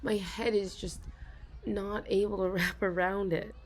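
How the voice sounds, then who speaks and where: A young woman in an ASMR role-play, confiding softly to a listener, giggling often and halting with restarts. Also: mid-range voice, steady tone. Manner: soft, giggly, self-conscious, halting with many restarts.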